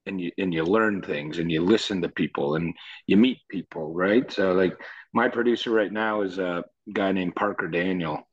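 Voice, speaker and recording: A low, somewhat deep male voice talks in a relaxed, meandering way, now and then chuckling. He is a musician guest in a casual interview. The sound is dull and lo-fi, like a remote recording. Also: moderate pace. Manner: easygoing, rambling, chuckles. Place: Nashville.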